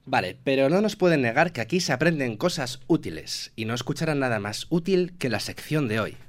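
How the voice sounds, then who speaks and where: A man with a Manchego Spanish accent trades scripted comic banter on a radio show. His pitch swings widely as he delivers dry, self-mocking jokes, set at a middling height for a man. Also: lively intonation, fairly quick. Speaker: comedy segment presenter. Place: radio studio.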